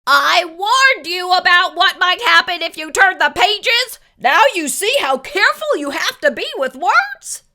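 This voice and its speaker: A woman acts out a grumpy male pig who writes storybooks and hates being bothered. The voice is high and bright, with wildly swinging pitch. It scolds slowly and emphatically, full of indignation. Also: very animated, heavily acted. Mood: scolding. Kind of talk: animated children's read-aloud character voice.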